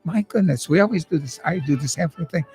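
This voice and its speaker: A man's voice: fairly high pitch, lively intonation, fast, dark tone, faint music behind. An older man hosting a radio talk show, chatting in a folksy, joking way. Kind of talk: casual radio interview. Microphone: studio microphone.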